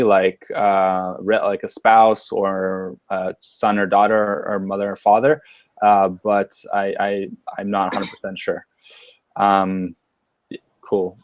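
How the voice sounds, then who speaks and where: A man hosts a live online webinar over a webcam, upbeat and casual, stumbling through fillers and restarts. His voice sits fairly low and sounds dry and close, with no room around it. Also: no echo. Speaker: webinar host. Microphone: webcam or headset on a video call.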